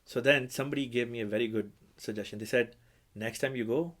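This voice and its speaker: A man who speaks Urdu as his first language, hosting a podcast as an imam, talking in a measured, reverent way. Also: mid-range voice, fairly even intonation, a bit bright. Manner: measured, reverent, with religious phrases. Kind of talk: conversational interview.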